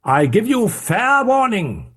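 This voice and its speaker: A man voicing a stern middle-aged male lawyer who speaks forcefully and urgently. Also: a little high, lively intonation, slow pace, slightly rough, voice pushed up, exaggerated voice. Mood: urgent, commanding.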